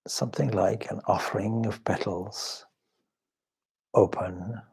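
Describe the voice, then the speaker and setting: Slow, low, husky man guiding meditation. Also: steady tone, a little mellow. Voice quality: husky, breathy. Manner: measured, reverent, pausing between short phrases. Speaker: swami leading a guided meditation. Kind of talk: slow spoken guided meditation.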